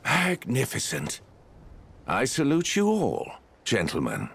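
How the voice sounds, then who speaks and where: A man voicing a smug, scheming villain in a video game, with a husky, drawling, mock-polite delivery. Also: mid-range voice, huge pitch swings, slow pace, a bit bright, slight background noise, full character voice. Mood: mock-gracious. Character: smug, scheming male villain, theatrical and sly.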